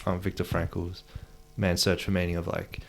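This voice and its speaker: A man's voice: deep voice, completely flat delivery, moderate pace, vocal fry. A man hosting a casual chat, musing aloud and searching for words as he talks, heard on a noisy recording with clicks. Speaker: podcast host.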